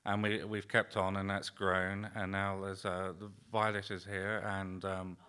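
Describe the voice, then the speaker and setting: A man talks informally at a roundtable in a low, very flat-pitched voice. It is bright and clean-edged, though his delivery is halting, with phrases left unfinished. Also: smooth. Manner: halting, trails off mid-phrase. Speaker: demoscene party organizer on a panel.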